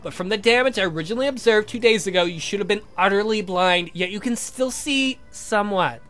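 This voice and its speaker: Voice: medium pitch, a little fast, fairly bright tone, soft background music, natural voice. A performer voicing a patient young male scientist in a visual novel, explaining things in a formal, precise, pedantic way. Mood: explanatory.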